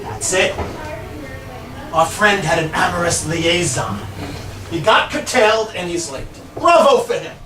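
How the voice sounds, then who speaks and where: A man acting a blunt, pedantic, needling character in quick comic banter in a live stage play. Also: sits high, expressive. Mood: dry, argumentative. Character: blunt, argumentative hard-faced man awaiting a friend. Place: small bar performance room. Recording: echoey noisy live venue, distant mic.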